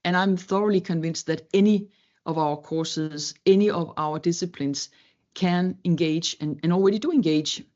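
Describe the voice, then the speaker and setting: A woman's voice: on the low side, normal speed, a little mellow, lo-fi sound. A woman from Denmark, speaking as a panelist in an academic webinar in an earnest, reflective way, searching for words.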